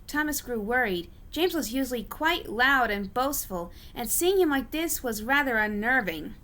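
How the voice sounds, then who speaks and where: A woman narrates a story as an amateur reader. Her voice is fairly high and sharply bright. She often stumbles and goes back over a phrase. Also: expressive, normal speed. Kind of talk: storybook narration read aloud.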